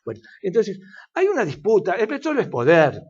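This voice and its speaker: A man's voice: sits high, huge pitch swings, brisk, darkish tone, breathy edge, lo-fi sound. Older Argentine man lecturing on energy. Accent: Argentine Spanish. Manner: lecturing, with many fillers and restarts. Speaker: energy expert guest. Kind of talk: interview answers, explanatory.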